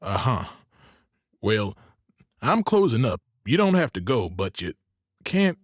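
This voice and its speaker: An actor playing a gruff but kindly barkeep in an audio drama, wry and patient as he listens. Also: mid-range voice, sing-song, slow, no room sound, clean studio sound. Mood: concerned, grudging. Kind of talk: dramatic dialogue in fiction podcast.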